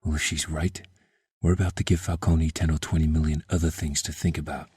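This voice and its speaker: An actor playing a brash, sardonic district attorney with a gravelly, husky voice in an audio drama. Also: male voice, rumbling low, level tone, a little fast, darkish tone, no room sound, clean studio sound, exaggerated voice. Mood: cocky, conspiratorial. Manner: sardonic, cocky, informal. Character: brash, sardonic male district attorney, vengeful yet tender husband. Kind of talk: fan audio drama acting. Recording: music under the voice.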